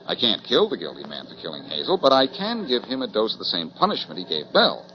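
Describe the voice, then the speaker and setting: An actor playing a cool, shrewd, wry detective in a 1940s radio drama. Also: male voice, fairly low pitch, wildly swooping pitch, fast, a crowd in the background. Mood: sly. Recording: muffled 1940s radio recording.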